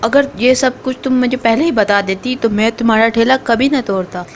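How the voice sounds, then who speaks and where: A woman voicing a hot-tempered male crow ice-cream seller in a cartoon, gruff and boastful. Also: on the high side, brisk, slight background noise, lightly acted. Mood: remorseful. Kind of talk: cartoon voice acting.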